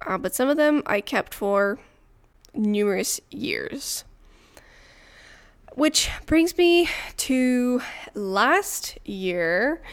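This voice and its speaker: Smooth-voiced woman hosting. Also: on the high side. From Montreal, Canada. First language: French. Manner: chatty and personal, light laughs. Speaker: podcast host. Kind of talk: solo podcast monologue.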